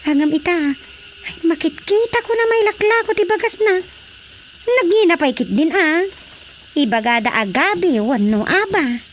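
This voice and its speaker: An actress playing a gossipy village woman in a radio comedy, chatty and expressive, with an Ilocano accent. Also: extremely high pitch, normal speed, a little hiss or hum. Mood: chatty, amused.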